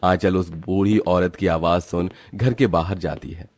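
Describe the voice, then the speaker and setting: A man's voice: sits low, fairly even intonation, measured pace, a little mellow, no echo, soft background music. A man giving scripted narration for a cartoon story.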